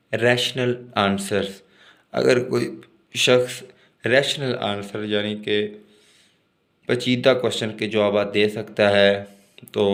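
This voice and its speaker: Man, Pakistani accent, lowish pitch, very slow pace, deliberate lecturer delivery, explanatory. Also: fairly bright tone. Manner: deliberate, explanatory, word-by-word when reading out. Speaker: law lecturer. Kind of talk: lesson, reading English legal text aloud and explaining it in Urdu.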